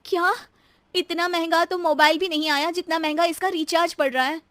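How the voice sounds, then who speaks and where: A woman's voice: extremely high pitch, fairly even intonation, fairly quick, fairly bright tone, no room sound, pitched above the performer's own voice. A woman voicing a young, exasperated daughter-in-law with a dramatic, grumbling manner in story dialogue.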